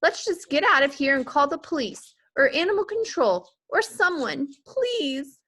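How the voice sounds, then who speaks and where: A woman voicing an alarmed, pleading, dramatic mother in a children's storybook, shrill and urgent. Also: sing-song, measured pace, a bit bright, full character voice.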